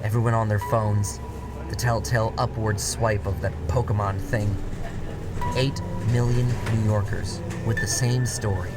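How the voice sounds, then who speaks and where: A man with a somewhat low voice narrates a dark urban audio drama in the first person. His delivery is wry and cynical, and he stretches out key phrases. Music plays clearly beneath him. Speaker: narrator and writer.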